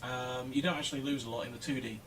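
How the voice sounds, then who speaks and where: A man's voice: medium pitch, steady tone, fast talker, a bit bright, slight background noise. A man with a Welsh accent, a co-host reviewing films in casual chat, talking in a chatty way with stumbling restarts. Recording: distant mic with faint music.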